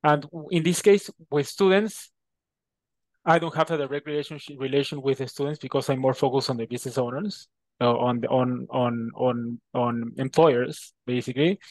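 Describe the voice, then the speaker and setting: A man from Peru with a Peruvian Spanish accent, a native Spanish speaker answering interview questions in a halting way, often repeating words. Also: mid-range voice, steady tone, no echo, low-quality recording. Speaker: interview guest.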